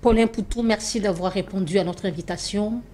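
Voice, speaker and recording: A woman TV host speaks in a mid-pitched voice. She presses her guest with brisk, pointed questions and closes the show with thanks. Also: dark tone. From Democratic Republic of the Congo. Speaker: TV interviewer. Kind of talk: TV news interview.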